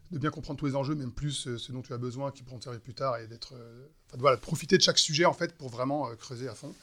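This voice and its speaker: A man in his thirties from France, a guest on a relaxed podcast. He speaks hesitantly, full of pauses, fillers and restarts. His voice sits at an ordinary middle pitch. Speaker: entrepreneur guest. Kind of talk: casual podcast interview.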